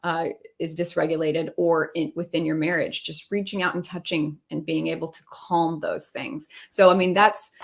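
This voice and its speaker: A woman's voice: low voice, little pitch movement, moderate pace, vocal fry. A woman around her thirties speaking conversationally as a couples coach on a live video call. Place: indoor room, video call.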